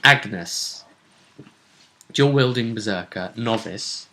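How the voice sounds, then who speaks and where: A man casually recapping a tabletop role-playing tournament as its host, telling it in halting bursts with dramatic repetition. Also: fairly low pitch, sharp tone, a little hiss or hum, poor audio. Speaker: host and game master.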